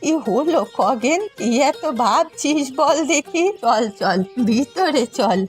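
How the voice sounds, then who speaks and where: A woman's voice: high-pitched, lively intonation, slow pace, faint music behind. A woman voicing a poor old village mother in a cartoon story, speaking in an emotional, plaintive way. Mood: tender. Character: poor elderly village mother, loving but indignant.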